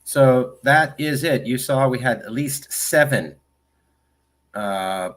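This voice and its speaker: A man's voice: mid-range voice, slow pace, fairly bright tone. A man commentating over video clips of his group's work, emphatic and repetitive in a promotional way. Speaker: advocacy group leader.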